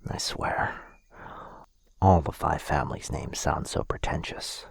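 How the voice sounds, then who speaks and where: A man playing a gentle, protective vampire gentleman, breathy and soothing, in a close-miked boyfriend ASMR roleplay. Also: very deep voice, unhurried, dry recording, full character voice. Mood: soft, soothing. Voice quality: breathy, husky, whispery. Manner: soothing, apologetic. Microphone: close mic, dry.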